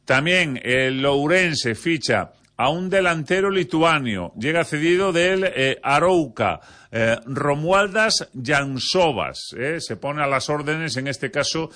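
A man with an accent from Spain presenting a sports news bulletin on the radio, with a halting, hesitant delivery. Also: fairly high pitch, animated, pushed and bright. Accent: Spanish, from Spain.

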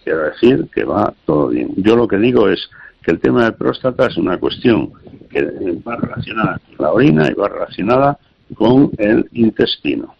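A man's voice: lowish voice, sing-song, normal speed, vocal fry, husky, muffled recording. A man giving health advice on a call-in radio show, hesitant and repeating small words as he explains.

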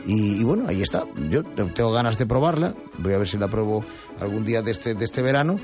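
A man's voice: lowish voice, lively intonation, fast talker, over music. A man hosting a radio show, chatting and reading news with many fillers and restarts. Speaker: radio host. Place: radio studio.

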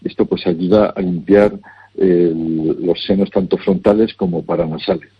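A man giving health advice, measured and instructive, carefully repeating the names of remedies. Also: low-pitched, steady tone, slightly rough, lo-fi sound. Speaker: health advisor. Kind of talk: advisory call-in consultation.

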